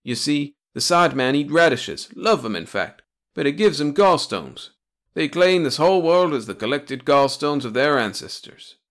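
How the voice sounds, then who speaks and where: A folksy old alien sage, played by a man in a comic storybook reading. He explains things in a homespun drawl, pitched a little above plain narration. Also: animated, normal speed, a bit bright. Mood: folksy grumbling.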